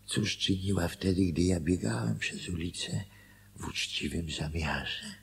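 Slow, gravelly self-deceiving husband. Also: male voice, sits low, exaggerated voice. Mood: self-justifying. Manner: hesitant, self-questioning, thinking aloud. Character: self-deceiving older husband, musing, anxious to justify himself. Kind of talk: Polish radio drama monologue.

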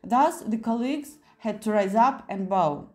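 Slow-paced woman reading an audiobook. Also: mid-range voice, lively intonation, a little mellow. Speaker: audiobook narrator. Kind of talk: scripted literary narration.

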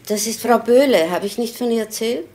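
A woman's voice: on the high side, fairly quick, dark tone. An actress playing a guarded housewife and mother in a radio crime play, answering carefully and defensively. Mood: explaining. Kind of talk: German radio crime drama acting.

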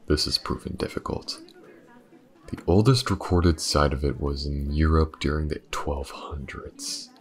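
A man playing a weary, driven detective in an audio roleplay, with a hesitant, muttering delivery and a gravelly voice. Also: measured pace. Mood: measured, reading aloud. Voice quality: very deep, gravelly. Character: weary, driven male detective investigating a dark mystery. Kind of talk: intimate audio roleplay acting.

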